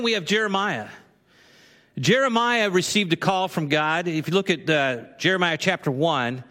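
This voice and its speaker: A man's voice: high voice, fairly bright tone. A man preaching a conversational sermon to a congregation, now and then acting out the voices of figures he quotes. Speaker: pastor. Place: church sanctuary. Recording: long hall reverb.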